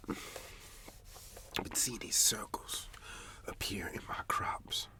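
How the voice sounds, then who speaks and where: Casting: male actor for troubled farmer in an ASMR roleplay, hushed breathy whisper with crisp bright edge, folksy storytelling. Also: exaggerated voice. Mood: uneasy, hushed. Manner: slow folksy storytelling, repeating phrases. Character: rural farmer man, spooked, troubled, God-fearing.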